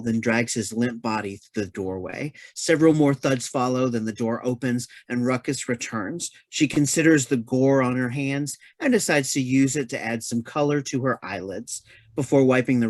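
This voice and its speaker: A man's voice: mid-range voice, moderate pace, no echo. A man reading stage directions as scripted narration for a play.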